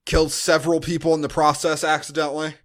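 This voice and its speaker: Higher-voiced man hosting a casual podcast. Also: little pitch movement, quick pace, a bit bright. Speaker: podcast host. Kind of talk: casual movie-review chat.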